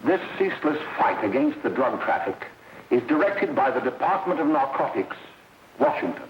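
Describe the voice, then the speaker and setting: A man's voice: medium pitch, sing-song, moderate pace, natural voice. An American man acting in a 1930s film as a stern, alarmist school official, addressing parents in grave, emphatic, formal oratory. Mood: solemn, formal. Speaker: speaker addressing a parents' meeting. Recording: dull, noisy 1930s film soundtrack, roomy echo.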